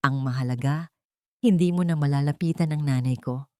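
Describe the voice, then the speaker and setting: A woman's voice: sits low, a little fast, mellow, dry recording, studio quality, pitched below the performer's own voice, natural voice. A woman voicing an angry, protective grown son, emphatic and commanding, in a dramatic audio story. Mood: firm, cold. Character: wronged billionaire son, protective, firm, angry adult man.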